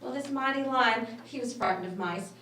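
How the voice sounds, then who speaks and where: A woman with a fairly high voice reads a children's story aloud. Her phrasing is expressive and dramatic. The room echoes and she sits a little back from the mic, with faint noise. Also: moderate pace, recorded from a distance. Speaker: storybook reader.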